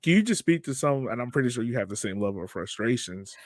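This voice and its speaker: A man's voice: medium pitch, expressive, quick pace, no room sound. Man, mid-range voice, podcast interviewer, informal chatty delivery, very dry close sound. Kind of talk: casual chat, interviewing.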